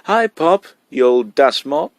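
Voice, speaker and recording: A man voicing a cheeky, boastful kindergarten boy, loud and excitable, as a storybook character. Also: on the high side, takes it slowly, dry recording, pitched above the performer's own voice. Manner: insolent, excitable.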